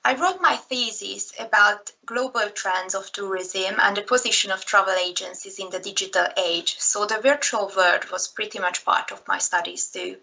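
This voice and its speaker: A woman's voice: mid-range voice, normal speed, creaky, few pauses, bright, forceful tone, low-quality recording. A woman from Hungary in her thirties with a Hungarian accent, giving a recorded slideshow talk in a careful manner with frequent fillers. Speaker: presenter.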